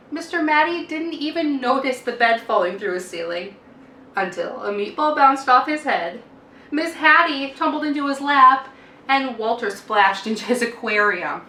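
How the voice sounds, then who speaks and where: Kobieta o jasnym głosie czyta bajkę. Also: female voice, fairly high pitch, lively intonation, pushed and bright. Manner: deliberate emphasis and dramatic sing-song lists. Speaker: teacher reading aloud. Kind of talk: expressive children's picture-book narration. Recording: roomy, distant microphone, faint noise.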